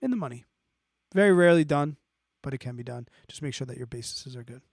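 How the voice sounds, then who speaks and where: A man's voice: mid-range voice, expressive, brisk, darkish tone, dry recording, clean studio sound. A man hosting an investing live stream, explaining off the cuff in an informal, blunt way, thinking aloud and checking in as he answers viewer questions.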